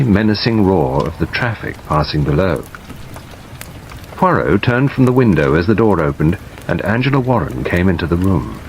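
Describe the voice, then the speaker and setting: A man reading literary narration as an audiobook narrator, in a measured scripted delivery. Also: on the low side, a little hiss or hum, rough recording. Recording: steady rain sound over the reading. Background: rain.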